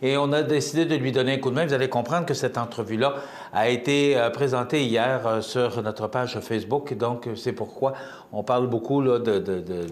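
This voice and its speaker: Quebec man, local TV host, loose rambling delivery, roomy echoey studio sound. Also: mid-range voice. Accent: Quebec French. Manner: rambling, many fillers and restarts. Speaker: local TV morning show host. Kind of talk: casual on-air news chat.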